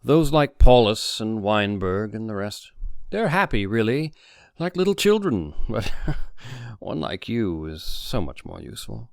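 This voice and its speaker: A man voicing a calm, smooth-talking conspiracy leader in an audiobook story, in a measured murmur. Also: lowish voice, dry recording, studio quality, exaggerated voice. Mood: guarded, sly.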